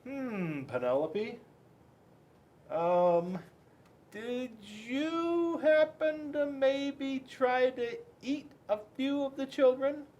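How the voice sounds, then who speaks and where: A man voicing a gentle, patient dinosaur father in a children's storybook, explaining things with fond exasperation and some hesitation. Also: extremely high pitch, extremely slow, pitched above the performer's own voice, exaggerated voice. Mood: tentative.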